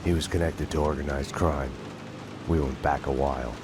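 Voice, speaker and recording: An actor playing a world-weary, guilt-ridden homicide detective, narrating a video game in a slow, grim, deadpan way. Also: male voice, rumbling low, background noise. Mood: brooding, fatalistic. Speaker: narrator and protagonist. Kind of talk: noir scripted narration. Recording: loud music behind.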